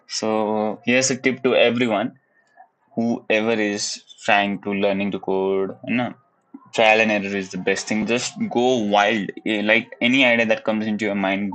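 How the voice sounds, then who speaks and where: A young man from Nepal with a Nepali accent, live-streaming his coding practice in casual commentary. He thinks aloud in a relaxed way, hums little la-la tunes as he works, and sometimes drops into Nepali. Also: fairly low pitch, rough recording. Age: young adult. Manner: laid-back, chuckles, switches into Nepali. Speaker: livestreamer learning web coding.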